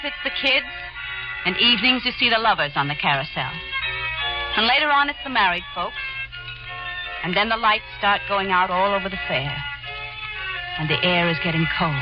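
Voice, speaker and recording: A woman's voice: lowish voice, close to an everyday voice. An actress playing a young woman who owns a carnival carousel, narrating and speaking in 1940s radio drama style. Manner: weary first-person narration. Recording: muffled 1940s radio broadcast.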